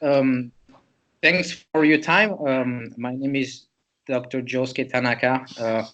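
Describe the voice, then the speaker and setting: A man's voice: mid-range voice, steady tone, takes it slowly, fairly bright tone, highs cut off. A man from Switzerland, a chiropractor, presents a patient case to students in a loose, conversational way, thinking aloud as he goes.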